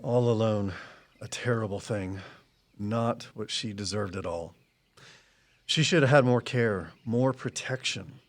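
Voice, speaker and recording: Plainspoken, guarded tree expert. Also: male voice, on the low side, measured pace. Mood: somber. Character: Walt, guarded, loyal tree expert and colleague. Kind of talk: naturalistic audio drama dialogue.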